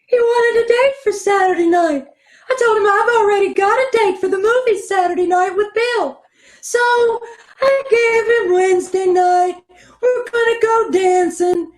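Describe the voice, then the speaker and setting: A woman playing a dim-witted young female secretary in an old-time radio comedy, with a whiny, breathy delivery over a video call. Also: very high-pitched, normal speed. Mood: airheaded.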